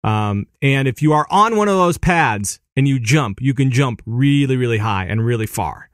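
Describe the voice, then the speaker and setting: A man hosting a casual gaming podcast, animated and excitable, laughing often as he explains things. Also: medium pitch, normal speed, no room sound, studio quality. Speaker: podcast host.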